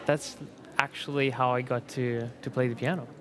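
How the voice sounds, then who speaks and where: A man's voice: medium pitch, little pitch movement, moderate pace, a crowd in the background. Hesitant young man with many ums. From Italy. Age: 20s. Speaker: competition pianist guest. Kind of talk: panel talk.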